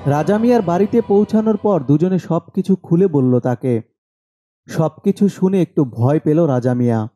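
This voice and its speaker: High-pitched, dark-toned male horror storyteller. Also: animated, moderate pace, muffled recording, soft background music. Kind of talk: scripted horror story narration.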